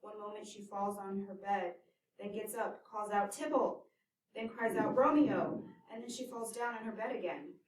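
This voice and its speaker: A woman's voice: medium pitch, steady tone, normal speed, lightly acted. A woman voicing a fussy, devoted older nurse who frets and exclaims in a scripted audio drama. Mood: fretful. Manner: fretful, exclaiming. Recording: echoey, distant from the mic.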